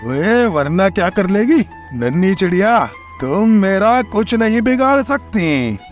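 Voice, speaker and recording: A man's voice: sits high, very animated, a little slow, soft background music. A man voicing a cruel, gloating tomcat villain with a taunting manner in a children's cartoon. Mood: gloating menace. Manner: menacing, taunting.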